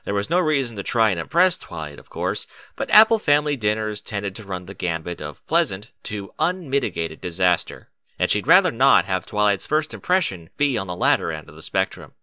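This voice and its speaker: A man reading a story aloud as an expressive narrator. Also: fairly low pitch, normal speed, no echo. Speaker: narrator of a fan fiction reading.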